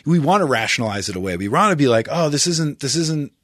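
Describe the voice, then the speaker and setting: A man's voice: a little high, lively intonation, quick pace, a bit bright, no echo, studio quality. A man talking as a podcast guest in casual chat, swearing often and emphatic, checking agreement with "right?".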